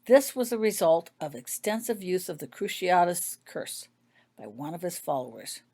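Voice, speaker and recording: Woman reading as a calm, kindly male healer. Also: on the low side. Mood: calm, measured. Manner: casual, measured, gentle.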